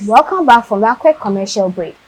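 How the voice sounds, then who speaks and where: A woman's voice: fairly high pitch, animated, brisk, over loud music. A woman hosting a TV show, introducing segments in a friendly, chatty way. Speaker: TV show host. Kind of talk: presenting a youth TV show.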